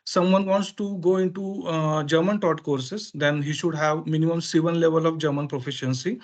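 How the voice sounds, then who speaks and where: Man, Indian accent, Marathi native speaker, slightly high pitch, informal explanatory presentation, halting phrasing with frequent fillers. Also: steady tone. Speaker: education consultant presenting a webinar.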